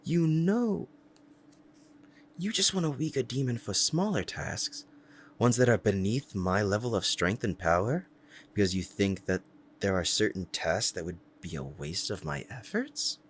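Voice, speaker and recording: A man's voice: fairly low pitch, animated, a little slow, slight background noise. A man playing a powerful, proud demon devoted to a master, speaking in a formal, courtly and menacing way in an ASMR roleplay drama. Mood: jealous, disbelieving.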